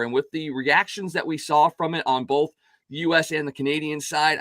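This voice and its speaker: A man hosting a sports talk show, his voice raspy and hoarse from calling games, chatting in an upbeat, casual way with many restarts. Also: fairly high pitch, bright, forceful tone, dry recording.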